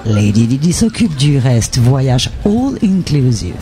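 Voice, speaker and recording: A woman from northern Charente in France, a festival performer, chatting casually and lively as a guest on a festival radio show. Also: rumbling low, slow. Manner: lively, talkative, switches to familiar tu. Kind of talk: casual radio interview chat.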